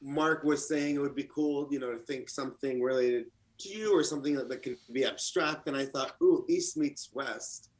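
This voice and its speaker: A man in his thirties from Lebanon, raised in the US, chatting casually as an art contestant with a relaxed, rambling delivery full of fillers. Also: mid-range voice, moderate pace, few pauses, poor audio. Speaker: artist contestant. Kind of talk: casual chat.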